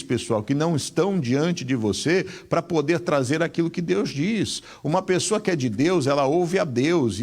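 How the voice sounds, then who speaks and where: A man preaching a sermon in a slightly high, gravelly voice. His delivery swings up and down in sweeping rises and falls. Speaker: pastor.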